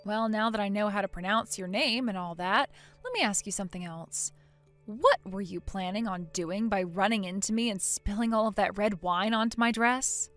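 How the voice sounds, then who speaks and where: A woman playing a polite, wary young bride in a scripted story drama, with a measured, questioning manner. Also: medium pitch, fairly bright tone, soft background music, natural voice. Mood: probing. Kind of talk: scripted melodrama story dub.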